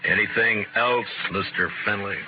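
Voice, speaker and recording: Man acting a deep-voiced, stern frontier marshal. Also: fairly even intonation, moderate pace, noisy background, natural voice. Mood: dry, firm. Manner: dry, clipped, sardonic. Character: stern, principled frontier town marshal, unbribable lawman. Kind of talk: 1950s radio western acting. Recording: muffled old radio recording, music behind.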